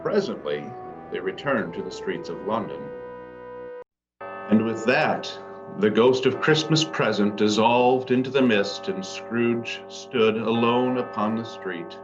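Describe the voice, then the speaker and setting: A man playing a solemn storybook narrator, reading a scripted ghost story in a measured way. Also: lowish voice, dull audio. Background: music.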